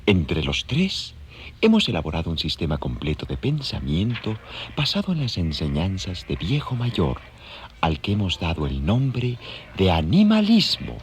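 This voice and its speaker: A man voicing a solemn pig orator in an audio drama, declaiming a doctrine in a slow, ceremonious way. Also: low voice, huge pitch swings, heavily acted.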